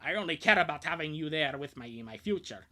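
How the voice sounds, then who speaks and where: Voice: medium pitch, expressive, quick pace, bright, forceful tone. A streamer reading the part of an earnest, sentimental, lovestruck cartoon youth confessing devotion. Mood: earnest, tender.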